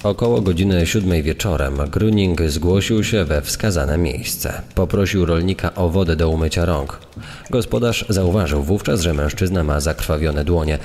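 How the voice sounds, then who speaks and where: A man's voice: low-pitched, normal speed, darkish tone. A man reading a scripted crime report as a narrator. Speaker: reader of newspaper report. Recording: long hall-like reverb.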